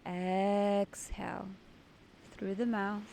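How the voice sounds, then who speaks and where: Slow, mellow woman guiding a meditation. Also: medium pitch, level tone, gravelly, slight background noise. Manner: soothing, deliberate, instructing in short phrases. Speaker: guided meditation host. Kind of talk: guided meditation.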